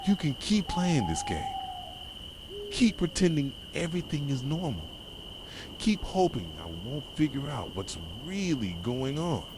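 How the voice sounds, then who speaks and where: A man playing an obsessive, possessive boyfriend in an ASMR roleplay, speaking softly and intensely straight to his partner. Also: on the high side, very animated, measured pace, background noise. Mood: controlling, demanding. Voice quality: soft voice. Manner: intense, pleading yet controlling, addressing a partner directly. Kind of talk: ASMR roleplay, dramatic monologue. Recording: nighttime cricket and owl ambience behind. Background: crickets, insects and hooting owls.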